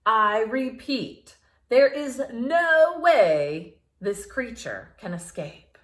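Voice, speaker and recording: A woman's voice: a little high, big pitch swings, drawn-out words, roomy sound, pitched above the performer's own voice, heavily acted. A woman voicing a boastful, greedy male mouse scientist in a storybook, proclaiming like a grand showman. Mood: confident.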